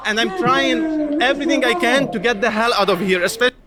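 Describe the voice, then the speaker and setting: A man's voice: very high-pitched, animated, brisk. A man from Lebanon giving a heated, insistent street interview at a protest. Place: outdoors at a protest. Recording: loud crowd noise outdoors. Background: loud crowd.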